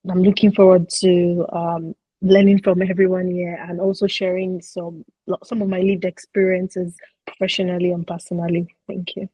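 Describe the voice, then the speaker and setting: A woman panelist introducing herself over a video call, eager and slightly halting. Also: fairly low pitch, little pitch movement, mellow, husky, no room sound, poor audio.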